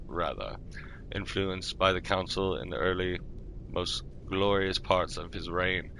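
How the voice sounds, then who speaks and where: A man reading fantasy lore aloud in a measured, formal manner, correcting himself politely, as part of a roleplay. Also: sits low, pushed and bright, slight background noise, poor audio. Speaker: live roleplay streamer.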